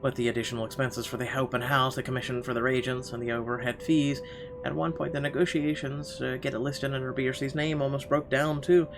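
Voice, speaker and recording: A man narrating a visual novel as a let's player, reading aloud a little haltingly with casual asides. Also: mid-range voice, little pitch movement. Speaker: gamer reading the story aloud. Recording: game music clearly behind the voice.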